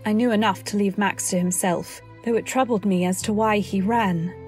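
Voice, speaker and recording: A woman playing an anxious, earnest wife, narrating a radio drama in a literary, deliberate way. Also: mid-range voice, steady tone, a little mellow, soft background music, lightly acted. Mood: tense, reflective. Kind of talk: dramatic scripted narration, old-time radio.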